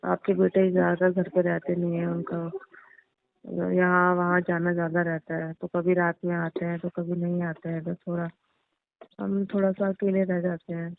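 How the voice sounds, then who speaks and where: Bashful young daughter-in-law played by a woman, halting delivery with very little pitch movement, heard through a narrow phone line. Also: completely flat delivery, creaky. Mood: confiding, wistful. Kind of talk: staged phone-call audio drama in everyday conversational speech. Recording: muffled narrow-band phone-line sound.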